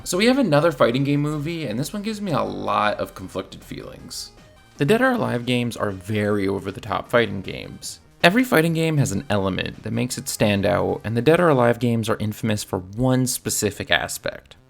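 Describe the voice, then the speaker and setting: A man's voice: medium pitch, very animated, a little fast, gravelly, faint music behind. A man narrating a scripted video review in a casual, opinionated manner. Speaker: film reviewer.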